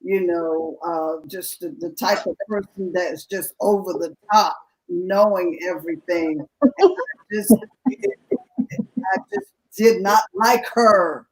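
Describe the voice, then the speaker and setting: A middle-aged woman guest, a pastor's wife, speaking in a halting way with many pauses and fillers. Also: on the low side, expressive, darkish tone, low-quality recording. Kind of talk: casual candid conversation.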